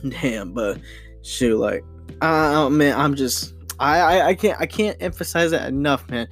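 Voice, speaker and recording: A young man hosting his own podcast, talking alone in a rambling, excited way with many fillers and restarts. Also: fairly high pitch, unhurried, faint music behind. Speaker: podcast host. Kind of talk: solo casual podcast monologue.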